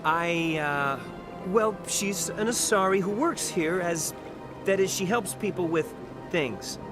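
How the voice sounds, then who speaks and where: A man's voice: high voice, takes it slowly. An actor playing a nervous, naive young private in a video game, flustered and hesitant as he talks. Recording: game music behind the voice.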